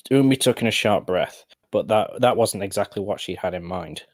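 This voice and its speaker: A man narrating a story as an amateur, halting and often correcting himself. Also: lowish voice, no room sound, clean studio sound. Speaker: narrator of fan fiction storytime.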